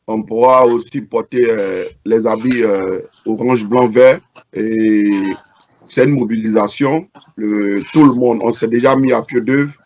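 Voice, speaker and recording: Halting man on a muffled line. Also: medium pitch, fairly even intonation, a little mellow. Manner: halting, repeats words. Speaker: protest organizer. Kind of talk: adding remarks in an interview.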